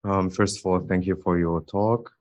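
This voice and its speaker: A man's voice: deep voice, steady tone, moderate pace, a little mellow, highs cut off. A man giving a spoken comment to a lecturer as a member of the audience.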